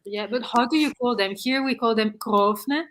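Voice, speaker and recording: A woman's voice: on the high side, normal speed, fairly bright tone. A woman chatting casually as a livestream co-host, explaining things in an informal, slightly hesitant way. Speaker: live stream co-host. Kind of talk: casual chat. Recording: clearly audible noise, clicks.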